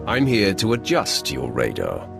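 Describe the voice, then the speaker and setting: A Sith apprentice with a very low, gravelly voice. He is cold and arrogant, delivering curt, sardonic threats as in a dramatic game cutscene. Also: huge pitch swings, unhurried, over music, full character voice. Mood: cold confidence. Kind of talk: video game cutscene acting.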